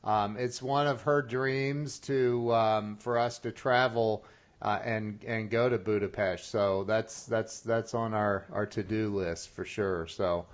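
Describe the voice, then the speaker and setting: A man's voice: fairly low pitch, steady tone, takes it slowly. A man hosting a podcast, talking casually with many restarts and chuckling at his own jokes. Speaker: podcast host. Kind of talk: casual interview chat.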